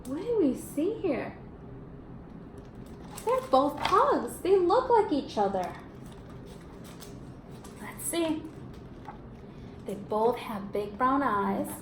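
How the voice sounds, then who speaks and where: A woman's voice: sits high, expressive, drawn-out words, long pauses. A woman teacher reading a storybook aloud to young pupils, expressively, prompting them with questions and affirming answers. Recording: roomy echo, faint background noise.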